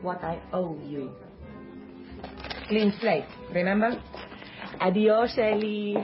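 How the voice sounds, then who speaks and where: An actress playing a brisk, cool bar worker, blunt and dramatic, in a radio drama. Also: female voice, mid-range voice, lightly acted. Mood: cool, cutting. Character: brisk, cool woman working at a Cuban bar. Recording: dull audio under loud music.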